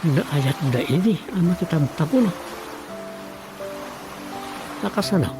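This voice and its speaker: A chatty village councilman and husband in a radio drama comedy, with a slightly high, gravelly voice. He thinks aloud and exclaims as he explains, with music playing underneath. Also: animated, unhurried. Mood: musing to himself. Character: talkative married village councilman, musing and explaining to his wife.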